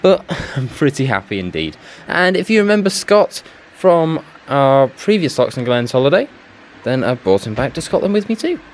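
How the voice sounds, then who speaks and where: A man's voice: medium pitch, sing-song, moderate pace. A man giving casual travel vlog narration in a chatty, self-deprecating way. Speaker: travel vlogger.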